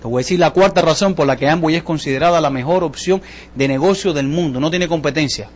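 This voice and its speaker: Man, Cuban accent, high pitch, seminar sales pitch, emphatic, colloquial, repetitive, rhetorical questions. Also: fast. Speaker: business seminar presenter, salesman. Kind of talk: motivational sales talk to an audience.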